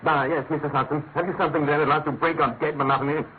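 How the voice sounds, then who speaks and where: A brilliant, dry-witted gentleman detective acted by a man, musing aloud in theatrical radio drama fashion. His voice sits slightly high and moves quickly with animated swings, heard through a tinny, hissy old radio sound. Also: natural voice. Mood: bored, restless. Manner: articulate, declamatory, musing. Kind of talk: classic British radio drama acting. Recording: tinny narrow-band radio recording with hiss.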